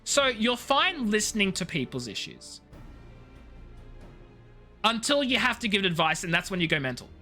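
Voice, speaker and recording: A woman's voice: medium pitch, very animated, moderate pace, long pauses, sharp tone, faint music behind. A woman chatting casually as a game streamer, playful and full of jokey asides. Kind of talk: casual live-stream chat and commentary.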